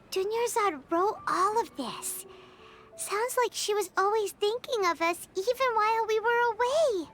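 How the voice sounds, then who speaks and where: A woman voicing a tiny childlike fairy companion in a video game, with a squeaky, expressive and earnest voice. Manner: refers to self in third person, expressive. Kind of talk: video game cartoon voice acting. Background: background music.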